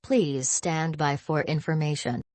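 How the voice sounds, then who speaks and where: Synthetic low female emergency announcer, very dry. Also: steady tone, unhurried, darkish tone, no echo. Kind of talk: scripted emergency broadcast announcement.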